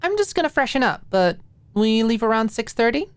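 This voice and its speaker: A bubbly young woman played by a female narrator in an audiobook. Her voice sits high and swings around freely as she gushes in casual, breezy, chatty phrases. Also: sing-song, brisk, no echo. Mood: breezy, upbeat. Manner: casual, gushing, peppered with filler "like". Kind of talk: audiobook character dialogue.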